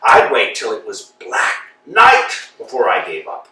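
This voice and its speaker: Man doing a pompous, grandiose landowner, lively swooping voice, slow bright delivery, echoey room heard from afar. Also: on the low side, far from the mic, full character voice. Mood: grand. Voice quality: grandiose, theatrical. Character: pompous blustering male landowner. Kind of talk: theatrical stage reading.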